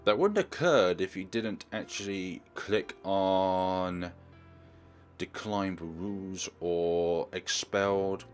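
A man's voice: sits low, slow pace, faint music behind. A man giving a walkthrough commentary as he plays a game. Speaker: let's player.

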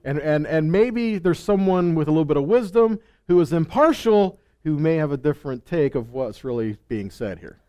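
A man's voice: a little high, animated, moderate pace, few pauses, mellow. Man teaching scripture on stage. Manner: conversational, asks the room questions, acts out quotes. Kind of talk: Bible teaching. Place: stage with purple lights. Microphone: headset.

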